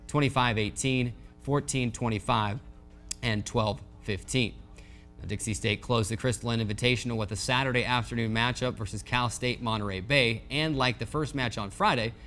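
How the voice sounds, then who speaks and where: A man hosting a sports show, reading a scripted recap of game scores and highlights. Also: fairly bright tone.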